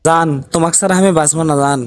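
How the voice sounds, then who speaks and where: Anxious North Bengali young boyfriend, acted by a man, high-pitched. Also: steady tone, racing, smooth, close to an everyday voice. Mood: tender, concerned. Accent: North Bengal Bangladeshi. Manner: whining, exasperated comic complaining. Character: anxious, broke young village boyfriend turned reluctant groom. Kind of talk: comedy cartoon dialogue.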